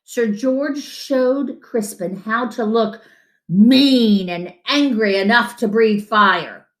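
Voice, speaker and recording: A woman's voice: fairly high pitch, slow pace. A middle-aged woman reading a children's story expressively, recorded indoors at a short distance from the camera. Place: wood-panelled living room, indoors. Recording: echoey room.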